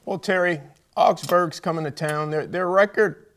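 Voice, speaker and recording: A man's voice: fairly high pitch, moderate pace, a bit bright, slight background noise. A man with an American accent hosting a sports interview show, putting conversational questions to his guests. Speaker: host of a college football coaches' show.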